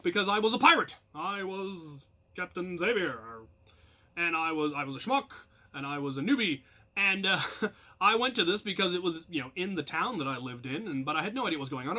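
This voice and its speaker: American man, forceful bright tone, fairly high pitch, casual storyteller, animated, self-deprecating. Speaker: storyteller and channel host.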